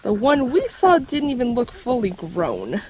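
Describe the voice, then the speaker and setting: Cautious, knowledgeable young woman played by an actress, lightly high voice, explanatory delivery, audio drama. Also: huge pitch swings, dark tone, breathy edge, full character voice, low-quality recording. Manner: measured, explanatory. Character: bookish, cautious young female folklore expert.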